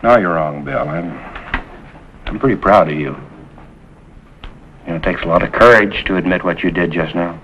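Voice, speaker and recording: Kindly calm middle-aged american man, lowish n expressive, 50s tv drama, muffled old audio. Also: moderate pace, long pauses. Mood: sober, supportive. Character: calm, kindly older male authority figure. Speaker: actor. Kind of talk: 1950s television drama acting. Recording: dull, noisy 1950s television soundtrack.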